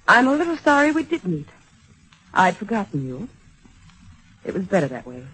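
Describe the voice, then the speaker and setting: A poised former sweetheart in vintage radio drama. Her husky voice swings widely in pitch, yet the manner stays formal, cool and politely wounding. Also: huge pitch swings, normal speed, a bit bright, heavily acted, low-quality recording. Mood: cool, restrained. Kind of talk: old-time radio drama acting.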